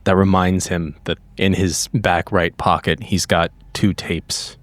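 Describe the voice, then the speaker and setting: Very low-voiced male tabletop player. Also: level tone, unhurried, fairly bright tone, dry recording. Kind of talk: third-person narration of his character's actions.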